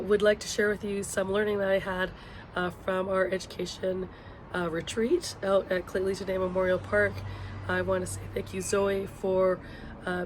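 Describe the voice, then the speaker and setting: A woman with a hoarse, sick voice speaks as an instructor in an informal video blog, giving updates to her students in a hesitant, pausing way. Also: medium pitch. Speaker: university education instructor hosting a video blog. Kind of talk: informal course announcements to students. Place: outdoors on a patio. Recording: loud outdoor ambient vehicle noise.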